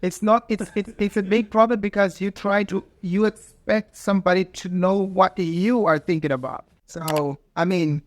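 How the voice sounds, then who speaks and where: A man with a fairly high voice talks earnestly as a guest, halting now and then to find his words. His is Ndau. The recording is dry and close, with no room sound. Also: fairly even intonation, dry recording. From Mozambique. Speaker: guest, farmer and peace builder. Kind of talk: casual interview talk.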